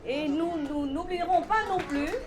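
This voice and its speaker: A mature woman addresses a gathering. She speaks haltingly and from the heart, repeating words as she searches for the next. A clear murmur of the crowd sits behind her. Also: extremely high pitch, heavy background noise. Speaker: member of a Vietnamese-Senegalese community giving a tribute. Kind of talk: informal tribute speech at a gathering.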